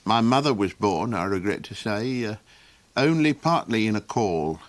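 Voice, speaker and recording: A man's voice: lowish voice, expressive, no room sound, lightly acted. A man doing a rambling, chuckling old creature. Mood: musing. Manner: halting, full of ums and chuckles.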